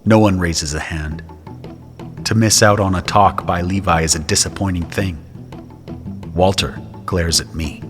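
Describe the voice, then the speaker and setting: A man delivers scripted first-person narration for an audio drama. His voice is very low, and music runs clearly underneath it. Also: fairly even intonation, unhurried, long pauses.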